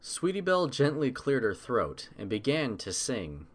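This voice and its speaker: A man's voice: mid-range voice, very animated, measured pace. A man reading scripted audiobook narration as the storyteller.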